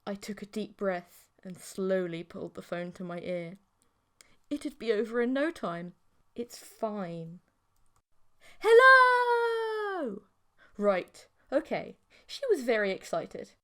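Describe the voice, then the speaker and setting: A woman's voice: medium pitch, measured pace, a little mellow. A woman narrating a scripted first-person audiobook story.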